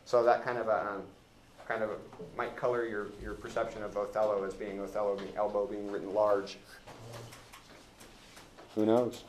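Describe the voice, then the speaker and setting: A man teaching literature in an informal classroom lecture, with a halting, rambling and wry delivery. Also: lowish voice, level tone, a little hiss or hum.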